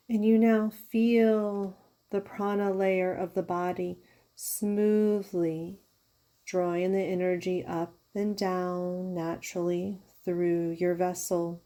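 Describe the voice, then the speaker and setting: A woman leading a guided yoga nidra meditation as a teacher, giving soothing, unhurried instructions with pauses. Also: on the low side, level tone, warm tone. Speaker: yoga therapist guiding a meditation.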